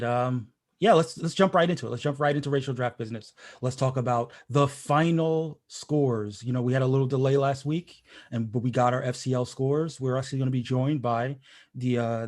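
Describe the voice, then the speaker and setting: A man's voice: mid-range voice, darkish tone. A man hosting a casual podcast, joking around and rambling through many restarts. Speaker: podcast host. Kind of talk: casual chat.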